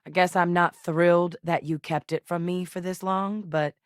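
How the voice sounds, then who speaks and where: A woman's voice: lowish voice, fairly even intonation, normal speed, dry recording, clean studio sound, lightly acted. An actress voicing a guarded, driven woman military scientist in a space video game, speaking in a firm, earnest, matter-of-fact way.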